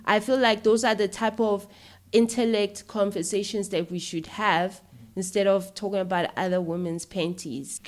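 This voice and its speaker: A woman's voice: medium pitch, level tone, normal speed. A woman with a South African accent talking casually and opinionatedly as a podcast guest, emphatic and often checking that the listener follows. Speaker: podcast guest, filmmaker and YouTuber. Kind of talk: casual, opinionated interview talk.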